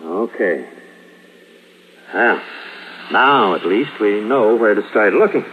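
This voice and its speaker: A man's voice: fairly low pitch, expressive, slow. An American man playing a tough, commanding police detective in a 1950s radio crime drama, clipped, brusque and authoritative. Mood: stern, irritated. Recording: tinny, noisy old radio recording.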